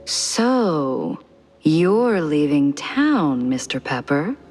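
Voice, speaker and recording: Poised shrewd lady, very slow measured speech, noir cartoon game, reverberant hall. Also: low-pitched, sing-song, darkish tone, faint music behind, exaggerated voice. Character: poised, shrewd woman ally of a detective.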